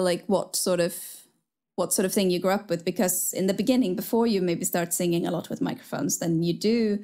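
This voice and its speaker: A young woman, a native Swedish speaker and singer, co-hosting a casual video-call chat, talking in a relaxed, rambling way with many fillers. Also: lowish voice. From Sweden. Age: young adult. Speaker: podcast co-host, singer. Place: small room at home. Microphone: headphones with studio mic on video call.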